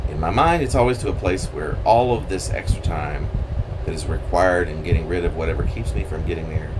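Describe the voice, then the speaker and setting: A man reading his own poem aloud in a theatrical, halting manner with swooping emphasis. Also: deep voice, noisy background. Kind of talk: dramatic poetry reading.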